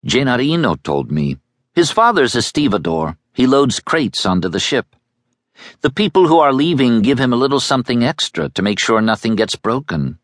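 A man's voice: mid-range voice, very animated, normal speed, dry recording, studio quality, pitched above the performer's own voice, natural voice. A man voicing a streetwise young boy who lectures with brash certainty. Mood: explaining.

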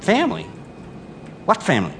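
Intense grieving father, swinging wildly in pitch. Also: huge pitch swings, gravelly, fairly bright tone, a little hiss or hum, exaggerated voice. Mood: bitter, numb. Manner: intense, theatrical, halting repetitions. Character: grief-maddened father and obsessive scientist, desperate, brooding. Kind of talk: live audio drama acting with monologue narration. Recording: live stage recording with music underneath.

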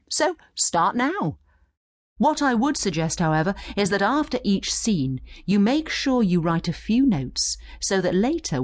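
Lively, expressive woman narrator. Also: fairly low pitch. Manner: instructive, expressive storytelling. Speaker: study guide narrator and tour guide. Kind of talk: scripted educational narration, acted as a guided tour.

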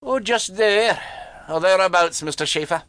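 A man voicing a grave older ship's chief engineer, measured and dryly polite, in an audiobook story. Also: high voice, fairly bright tone, no room sound, voice pushed up. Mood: matter-of-fact.

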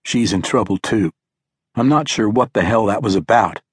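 Man voicing a husky, desperate father in battle. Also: lowish voice, animated, normal speed, no room sound, clean studio sound. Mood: strained, worried. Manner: urgent, pleading, colloquial. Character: desperate, protective father fighting a zombie horde.

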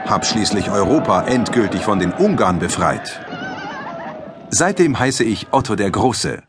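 A man's voice: fairly low pitch, big pitch swings, a little slow, heavy background noise. A man playing self-important medieval figures in a declamatory manner for a children's audiobook. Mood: proud. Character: medieval men: self-important churchmen, emperor, peasants. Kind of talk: children's audiobook character acting. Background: music.

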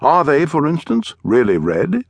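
An upper-class English gentleman adventurer, pompous and endlessly chatty. The voice sits high and leaps about in pitch, effusive and cheerful, in the manner of an audiobook character. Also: sing-song, a little slow, no echo, exaggerated voice. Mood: breezy, self-satisfied.

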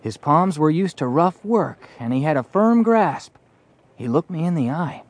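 A man's voice: on the high side, lively intonation, a little slow, dry recording. An actor playing an earnest fourteen-year-old boy in a western, telling his story in a plainspoken, hesitant, thoughtful way. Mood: reflective. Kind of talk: first-person dramatized audiobook narration and dialogue.

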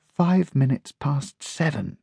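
A narrator voicing a clever, know-it-all male puppy in a storybook, speaking in a slow, smug drawl. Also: mid-range voice, animated, creaky, mellow, slightly rough, no room sound, clean studio sound, pitched above the performer's own voice, full character voice.

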